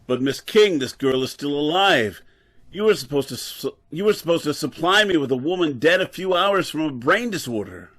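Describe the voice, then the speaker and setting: Professor-surgeon for radio drama, played by a man: earnest, formal, concerned, lighter male pitch. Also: animated, normal speed, fairly bright tone. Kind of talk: old-time radio horror acting.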